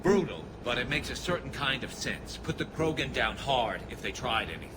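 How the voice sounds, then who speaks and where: An actor playing a blunt, pragmatic soldier in a video game. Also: male voice, mid-range voice, animated, brisk, noisy background, close to an everyday voice. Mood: grimly pragmatic. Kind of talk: video game cutscene acting.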